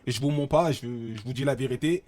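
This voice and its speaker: A young man with a Congolese accent chats as a guest about training and food. He talks in animated bursts, often laughing, with a middle-pitched voice. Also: quick pace, a loud crowd. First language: Lingala or Congolese language at home. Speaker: guest, fitness coach. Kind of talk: casual interview chat.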